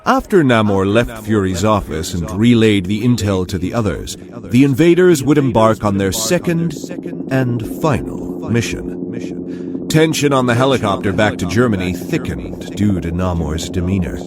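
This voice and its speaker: Casting: male story narrator, expressive, slightly deep, set far back amid very loud background noise. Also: measured pace. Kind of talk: scripted audio drama narration.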